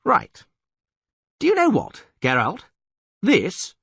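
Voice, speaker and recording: Guy putting on a loud pompous castellan, pitch jumping all over, husky dry sound. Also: huge pitch swings, slow pace, dry recording, exaggerated voice. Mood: stern. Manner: loud, theatrical, swoops high. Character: blustering middle-aged male town castellan, pompous.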